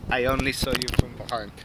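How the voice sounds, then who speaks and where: Australian man, young, relaxed and chatty, lots of ums, traffic noise outdoors. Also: medium pitch, moderate pace. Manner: laid-back, laughs often, many ums. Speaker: dating coach. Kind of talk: casual street chat and voice-over commentary. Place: outdoors in a city park.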